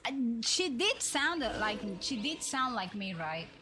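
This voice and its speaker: A woman's voice: a little high, very animated, measured pace. A woman streaming a video game and chatting casually as she plays. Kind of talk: casual livestream chat while playing. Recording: music under the voice.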